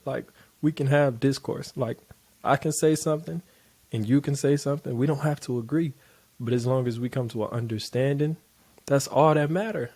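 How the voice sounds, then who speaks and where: A man's voice: medium pitch, vocal fry, darkish tone. Blunt, loose-talking man reacting. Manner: loose, blunt, profane street talk. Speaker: reaction video host. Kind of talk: casual reaction commentary.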